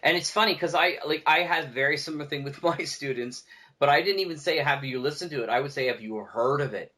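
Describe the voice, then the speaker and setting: A man's voice: on the high side, fast, bright, forceful tone. A middle-aged man talking casually and at length as a guest in a podcast discussion. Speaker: podcast guest, audio drama producer.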